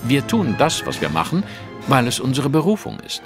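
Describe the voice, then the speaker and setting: An actor playing a shrewd, brooding master detective in a German audio drama, speaking in a measured, articulate, formal way. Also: male voice, mid-range voice, huge pitch swings, over music. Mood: grave, pondering.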